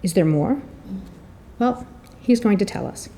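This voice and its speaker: Woman doing a prepared intro speech, soft mellow tone, dramatic pauses, storyteller style. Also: medium pitch, animated, slight background noise. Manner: theatrical, rhetorical questions and pauses. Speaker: editor and marketing director introducing an author. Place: room at a public presentation.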